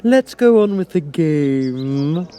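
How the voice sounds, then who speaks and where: A man voicing a savage, bossy storybook Queen who shouts orders in a drawn-out, imperious way. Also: on the high side, lively intonation, clear, resonant tone, pitched above the performer's own voice. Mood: threatening. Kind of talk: storybook character voice.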